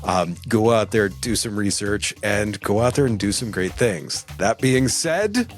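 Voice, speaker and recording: A man's voice: fairly low pitch, animated, unhurried, faint music behind. A man hosting a podcast interview, friendly and affirming, with hesitant fillers. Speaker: podcast host. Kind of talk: casual interview chat.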